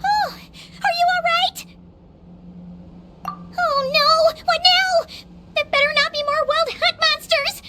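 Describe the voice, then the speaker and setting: A woman voicing a small, childlike fairy companion in a video game, squeaky and exclamatory, talking about herself in the third person. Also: steady tone, slow pace, long pauses. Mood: worried. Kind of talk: video game character acting. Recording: game music behind voice.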